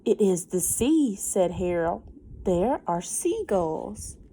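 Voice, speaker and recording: Lady doing a kids read-aloud, slow and really animated, chatty little asides and questions for the kids. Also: mid-range voice, slightly rough, low-quality recording. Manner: explains words simply and prompts young listeners with questions. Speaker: teacher reading a picture book aloud to children.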